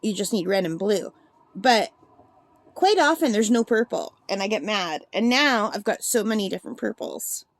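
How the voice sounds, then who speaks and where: A woman with a slightly gravelly voice chatting and gushing as she swatches paints in a casual demo at her craft table. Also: medium pitch, expressive, moderate pace, dry recording. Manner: chatty and gushing, thinks aloud. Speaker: art supply reviewer.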